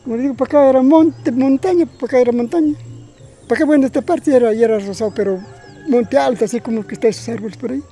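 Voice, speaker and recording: An older man with a Peruvian accent gives a casual villager's testimony. His voice is soft-edged and dark, his phrasing plain and repetitive, with music behind him. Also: extremely high pitch, rapid-fire. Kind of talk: casual oral recollection.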